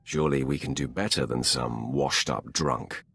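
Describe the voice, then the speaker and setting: Extremely deep, gravelly dark lord. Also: male voice, fairly even intonation, normal speed, no room sound, heavily acted. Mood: disdainful. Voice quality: gravelly, husky. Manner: haughty, commanding. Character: imperious dark Sith lord, cold, commanding, disdainful. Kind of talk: video game character dialogue.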